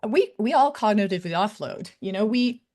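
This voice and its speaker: A woman's voice: mid-range voice, lively intonation, a little fast, darkish tone. A woman presenting to faculty in a conversational style, with frequent fillers and "right?" tag questions. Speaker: academic integrity director giving a faculty talk. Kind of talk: conference presentation.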